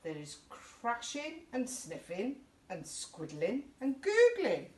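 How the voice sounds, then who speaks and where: A woman's voice: fairly high pitch, wildly swooping pitch, takes it slowly, a little mellow. A middle-aged woman, reading a children's picture book aloud in a chatty, encouraging way. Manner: chatty, encouraging, breaks off to point things out. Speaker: children's story time presenter for a youth service. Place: small indoor youth room. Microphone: camera a few feet away. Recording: roomy, distant camera mic with faint noise.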